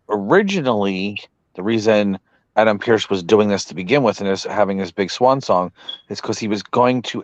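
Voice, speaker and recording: Guy losing his voice on a podcast, low and muffled, keeps hedging. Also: no room sound. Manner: hedging, repeatedly unsure of his memory. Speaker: podcast co-host. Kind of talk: casual podcast chat.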